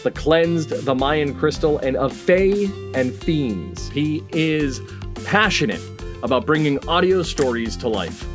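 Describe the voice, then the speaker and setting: Male podcast host, slightly high voice, introductions and interview questions, music behind. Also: lively intonation, takes it slowly.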